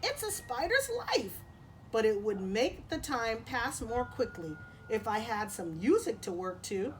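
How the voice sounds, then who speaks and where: A woman's voice: a little high, very animated, a little slow, slight background noise. A woman voicing a kindly old female spider in a storybook, patient and reassuring, with a singsong manner. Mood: resigned, cheerful.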